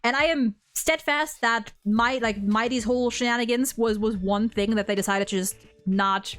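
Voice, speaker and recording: A woman's voice: on the high side, normal speed, a bit bright. A woman hosting a casual, unscripted game discussion, speaking in an emphatic, opinionated, rambling way. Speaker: host of a video game story ranking discussion.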